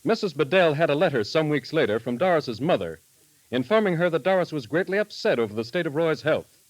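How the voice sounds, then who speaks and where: A man narrating in the formal, measured, dramatic style of a 1930s radio announcer. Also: fairly high pitch, expressive, dry recording, rough recording. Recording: vintage 1938 radio broadcast recording.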